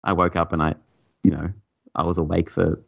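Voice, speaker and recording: Deep gravelly podcast guest man. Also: flat delivery, a little fast, fairly bright tone, highs cut off. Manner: rambling, repeats words, joking. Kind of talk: casual podcast chat.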